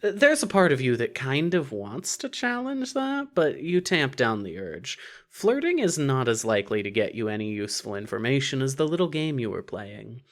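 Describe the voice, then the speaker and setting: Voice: medium pitch, very animated, moderate pace. A narrator reading scripted second-person fiction for an audio story.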